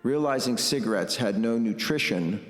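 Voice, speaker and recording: A man delivering an intense, blunt, reflective personal monologue with deliberate pauses. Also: mid-range voice, animated. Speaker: podcast host and musician. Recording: roomy echo, long reverb, music underneath.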